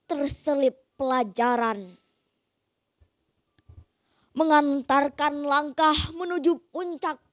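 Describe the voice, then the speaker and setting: A woman giving a solemn, declamatory poetry recital with dramatic pauses. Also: high-pitched, dry recording, clean studio sound.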